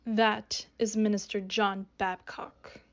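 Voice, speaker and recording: A woman playing a vengeful ghost of a wronged woman, speaking in hushed, solemn tones in a radio drama. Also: medium pitch, little pitch movement, slow. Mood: ominous.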